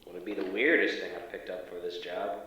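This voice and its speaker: A deadpan field agent in an audio drama, wry n uneasy, lowish voice, far off in a big echo w/ faint noise. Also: male voice, highs cut off. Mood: reluctant, disgusted. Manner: casual, halting, deadpan reporting over radio. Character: wry, uneasy male field agent exploring an abandoned school. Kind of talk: audio drama acting, exploration log. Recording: echoey, distant, faintly noisy field audio.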